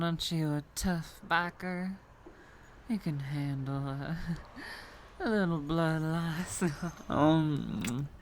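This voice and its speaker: A woman's voice: low voice, slight background noise. A woman voicing a teasing, menacing, sweet-talking vampire trucker with a Southern US accent, in an intimate ASMR roleplay. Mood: hungry, savoring. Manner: slow, teasing drawl with pet names and chuckles.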